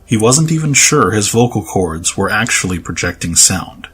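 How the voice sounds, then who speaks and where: A man reading scripted story narration in a serious, measured, dramatic manner. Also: lowish voice, sing-song, a bit bright, a little hiss or hum. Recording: music under the voice.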